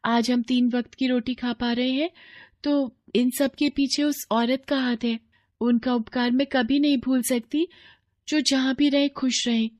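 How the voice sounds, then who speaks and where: Woman voicing a high-pitched, earnest poor girl. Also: fairly even intonation, measured pace, a little mellow. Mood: grateful. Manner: pleading, earnest. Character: poor, earnest, hard-working orphan girl. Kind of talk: Hindi moral cartoon story acting.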